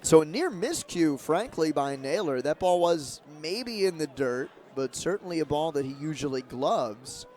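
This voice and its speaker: A man doing live radio play-by-play of a baseball game, describing the action in short, clipped, measured phrases. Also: fairly high pitch, lively intonation, a little mellow. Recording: clear outdoor ballpark noise behind.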